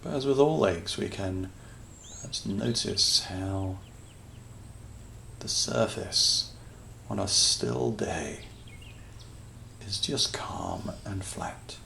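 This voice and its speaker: Very slow, creaky-voiced man guiding meditation. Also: sits low, slight background noise. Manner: calm, soothing, with long pauses between short phrases. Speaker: meditation guide. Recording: nature ambience with birdsong behind voice. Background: natural sounds, birds.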